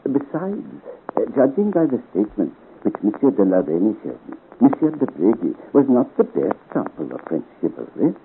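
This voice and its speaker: An actor playing a scheming, deferential French duke in a 1950s radio serial, speaking in a courtly, persuasive way. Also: male voice, medium pitch, big pitch swings. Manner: courtly, deliberate, persuasive, with calculated hesitations. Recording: scratchy, tinny 1950 radio serial recording.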